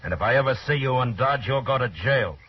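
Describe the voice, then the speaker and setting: An actor playing a tough, weary US marshal in a 1950s radio western, speaking in a stern, terse, commanding way. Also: male voice, medium pitch, lively intonation, a little fast, slightly rough. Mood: weary, disgusted. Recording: muffled, noisy old radio recording.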